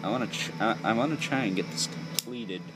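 A man's voice: lowish voice, a little fast, over loud music, low-quality recording. A young man giving a casual, off-the-cuff build tutorial in a rambling, jokey, self-deprecating way. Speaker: toy build video host.

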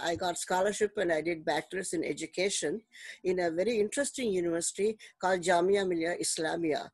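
Guest: woman in her 70s, Indian English accent, unhurried and full of pauses, dry close video-call sound. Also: on the low side, no echo. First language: Telugu. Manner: unhurried, many pauses and fillers. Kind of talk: telling her life story. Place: home room, indoors.

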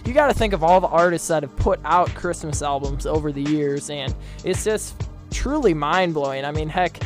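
A man's voice: fairly high pitch, animated, moderate pace. A young man hosting as a college radio DJ in an upbeat broadcast style. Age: young adult. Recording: music bed behind the voice.

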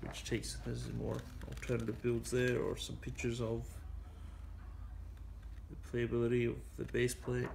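Male collector, slow gravelly delivery, rambling home-video review, noisy recording. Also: medium pitch, completely flat delivery, darkish tone. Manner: unhurried, rambling, with frequent fillers. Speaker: toy collector reviewing a vintage building set. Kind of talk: casual show-and-tell commentary. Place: cluttered indoor toy room.

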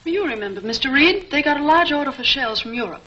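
A woman's voice: sits high, animated, quick pace, sharp tone. A woman playing an efficient, earnest young newspaper secretary in a 1940s serial. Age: young adult. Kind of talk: 1940s film serial acting. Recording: dull, noisy 1940s film soundtrack.

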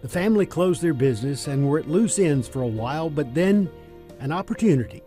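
An older man narrates a local TV feature in a measured, folksy storyteller's voice. His voice sits a little high for a man. Music plays clearly behind the voice-over. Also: expressive, warm tone. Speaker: TV feature host and reporter. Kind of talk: scripted news narration.

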